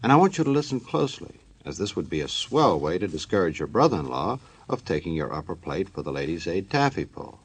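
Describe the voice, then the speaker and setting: A man's voice: sits low, sing-song, a little fast, hardly any pauses, slightly rough. A man narrating a scripted old-time radio show as announcer, in a folksy, tongue-in-cheek manner.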